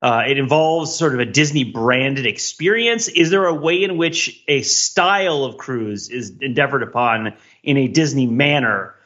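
A man co-hosting a podcast chat, speaking in a mock-formal, deliberately wordy way. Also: mid-range voice, fairly bright tone. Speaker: podcast co-host. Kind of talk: casual chat. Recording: slightly dull, lo-fi audio.

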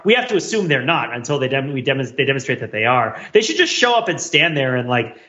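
A man hosting a casual panel podcast, rambling in an animated way and repeating phrases as he thinks aloud. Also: mid-range voice, dull audio. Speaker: podcast host.

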